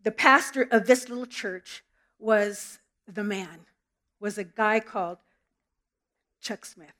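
A woman's voice: medium pitch, slow, pushed and bright. A middle-aged woman from Southern California preaching a sermon and praying in a church, earnest and storytelling, with small restarts. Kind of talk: sermon with prayer. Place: church sanctuary.

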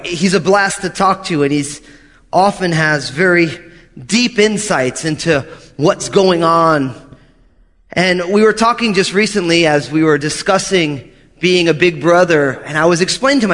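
A man's voice: on the high side, fairly bright tone, in a large hall. A man in his thirties, a pastor preaching a sermon in an earnest way, repeating key phrases for emphasis. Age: 30s.

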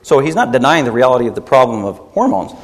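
A man's voice: mid-range voice, sing-song, quick pace, in a large hall. A man preaching a sermon as pastor, emphatic and rebuking, driving points home by repeating them.

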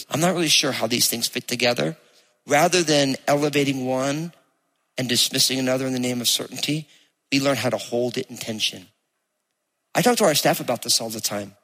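A man with a New Jersey accent preaching a sermon to a congregation, speaking conversationally and with emphasis, slowing down to read scripture aloud. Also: medium pitch, fairly bright tone. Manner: conversational, emphatic, slows to read place names. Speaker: pastor. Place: church hall with live congregation. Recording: long reverb of a church hall.

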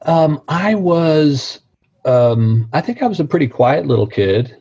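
A man's voice: fairly high pitch, very animated, unhurried, mellow, breathy edge. A man from Missouri with a slight Missouri accent, chatting in a friendly, easygoing way as an actor being interviewed. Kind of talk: casual interview chat. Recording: dull lo-fi sound.

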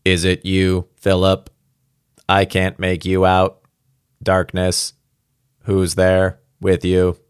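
A low, lifeless old professor's voice done by a male reader, the mind of a man living inside a spaceship in a science fiction story. He speaks slowly in halting, detached fragments, without inflection. The sound is close and dry. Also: monotone, clear, resonant tone, dry recording. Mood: faint, confused. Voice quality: toneless, faint, mechanical. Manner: slow, faltering, halting fragments.

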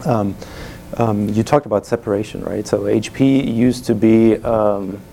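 German-accented man, dark low voice. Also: fairly even intonation, measured pace, creaky, a little hiss or hum. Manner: chatty, many "you know" fillers. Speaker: guest speaker, sales executive. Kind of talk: casual onstage conversation. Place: event room before a live audience.

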